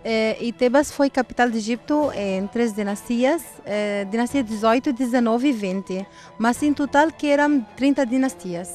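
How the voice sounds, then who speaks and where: An Egyptian woman working as a tour guide outdoors, explaining history in halting learned Portuguese, with Arabic as her first language. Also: a little high, darkish tone, faint music behind. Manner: halting non-native phrasing, explanatory. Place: outdoors at an ancient temple.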